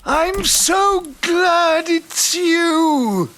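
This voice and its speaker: Wistful old man, slow and expressive. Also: extremely high pitch, a little hiss or hum, full character voice. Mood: fond, frail. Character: wistful, elderly man of eighty looking back on lost loves. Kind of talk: audio drama dialogue and narration.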